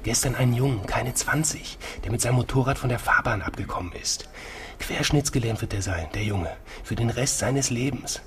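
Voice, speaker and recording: A man playing a weary trauma surgeon held hostage, confiding in a low-key, halting way in a naturalistic radio drama. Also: a crowd in the background, natural voice. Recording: noisy ambience behind close voice.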